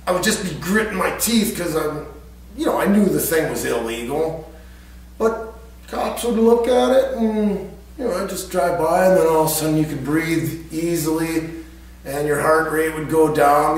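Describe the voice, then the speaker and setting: An American man casually telling a personal anecdote in an animated, everyday storytelling way. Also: a little high. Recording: echoey room, distant mic, faint hum.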